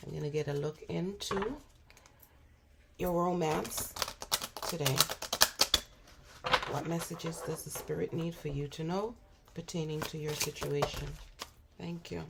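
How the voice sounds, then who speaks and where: A hoarse woman with a cold, giving a spiritual tarot reading in an unhurried, musing way with frequent pauses and fillers. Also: low voice, fairly even intonation, heavy background noise. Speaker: tarot reader.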